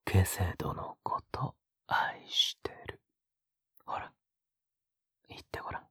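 A man playing an obsessive young captor in an intimate audio drama, coaxing in a husky whisper with sweetly condescending menace. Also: no room sound. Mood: coaxing, possessive. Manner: slow, coaxing, condescendingly gentle.

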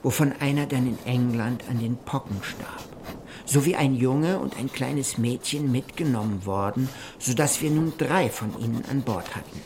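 A man giving measured, formal narration of a journal in a literary radio play. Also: medium pitch, background noise. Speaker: narrator reading an explorer's journal.

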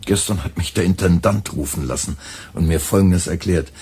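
A wry young radio editor. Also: male voice, deep voice, moderate pace, a little mellow, husky, exaggerated voice.

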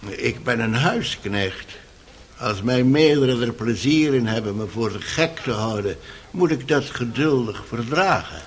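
A man's voice: medium pitch, lively intonation, a little slow, close to an everyday voice. A male actor playing a humble, stubborn old house servant, deferential and hesitant as a witness in a radio drama. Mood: wounded dignity. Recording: noisy old radio play recording.

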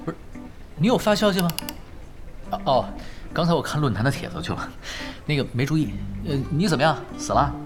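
A man's voice: fairly high pitch, sing-song, fairly quick. A man acting a shrewd, unflappable veteran gamer in a radio drama, easygoing and dryly teasing with mock modesty. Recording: studio voice over clear background music.

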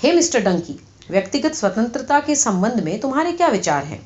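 A woman's voice: fairly high pitch, very animated, darkish tone. A woman voicing a stiff, disdainful male British establishment journalist in a storybook, pompous and formal. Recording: slightly dull, lo-fi audio.

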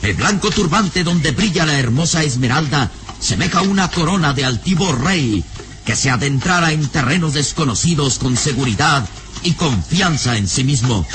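A man's voice: on the high side, expressive, normal speed, noisy background. A man narrating a radio serial in a dramatic, scripted storytelling style. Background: music.

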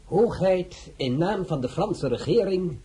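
An actor playing a government envoy in a radio play, who speaks in a formal, stiff and deliberate way. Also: male voice, high voice, animated, dark tone, slightly rough, full character voice.

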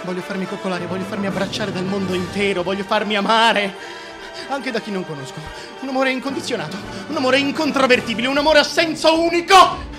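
A man's voice: high-pitched, fast, music in the background, close to an everyday voice. An actor playing a vain, deformed, megalomaniac usurper king in a declamatory theatrical monologue. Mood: grandiose, exultant. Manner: declamatory, grandiose, self-adoring.

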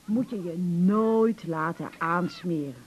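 An actress playing a sharp, sceptical wife in a radio play, with a dry and pointed way of questioning. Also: female voice, lowish voice, takes it slowly, highs cut off. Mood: knowing. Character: sharp, sceptical wife who distrusts swindlers.

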